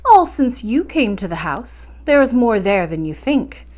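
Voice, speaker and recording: A woman's voice: mid-range voice, a little slow. Serene, mysterious storybook grandmother, played by a woman. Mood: calm. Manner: calm, patient, instructive. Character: kindly, serene, mysterious magical great lady grandmother. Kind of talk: storybook character voice in a read-aloud.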